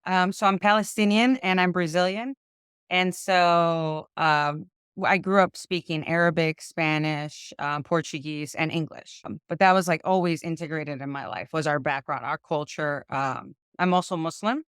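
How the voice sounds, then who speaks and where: A woman's voice: low-pitched, moderate pace, a bit bright, clean tone, no echo, studio quality. A young woman from Chicago, a lawyer, talking casually as an interview guest, with lots of "um" and "like". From Chicago, United States. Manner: chatty, full of "um" and "like" fillers.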